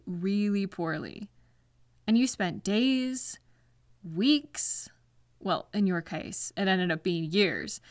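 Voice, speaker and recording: A woman playing a caring, patient girlfriend in a soft-spoken ASMR roleplay, speaking gently and reassuringly to comfort a partner. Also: mid-range voice, dry recording, studio quality.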